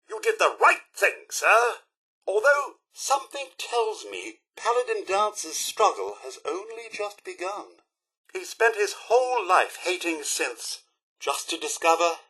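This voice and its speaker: Posh-mannered british robot butler from a video game, prim and easily scandalised, sharp slightly raspy voice. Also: male voice, high voice, sing-song, slow pace, exaggerated voice, rough recording. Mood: earnest, sombre. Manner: formal, prim, theatrical, dryly droll. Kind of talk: scripted video game voice acting.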